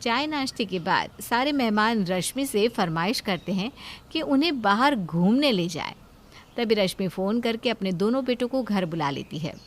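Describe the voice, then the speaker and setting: A woman narrates a cartoon family tale from a script. Her voice is of middling pitch, in the role of storyteller. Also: expressive, normal speed.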